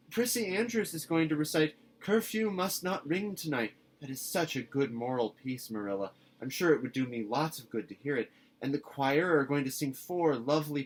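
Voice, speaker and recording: A man voicing an excitable, dramatic young orphan girl in a storybook reading, gushing and pleading earnestly. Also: on the high side, husky, voice pushed up, low-quality recording.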